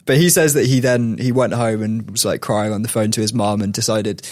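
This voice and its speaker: A man's voice: fairly low pitch, steady tone, fairly quick, a little mellow, dry recording, studio quality. A man hosting a podcast, telling stories in a chatty way with frequent laughs. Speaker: podcast host. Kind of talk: casual chat.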